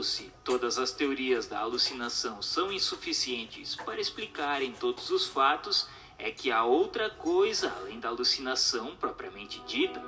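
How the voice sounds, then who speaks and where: A man's voice: mid-range voice, bright, forceful tone. A man with a Brazilian accent reading a formal text as scripted narration, with declamatory, emphatic phrasing.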